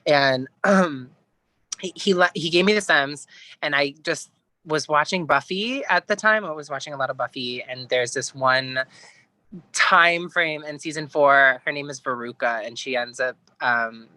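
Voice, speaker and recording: A man's voice: fairly high pitch, measured pace, pushed and bright, dry recording. A man, a guest musician, speaking casually and conversationally in an interview. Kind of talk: casual chat, telling an anecdote.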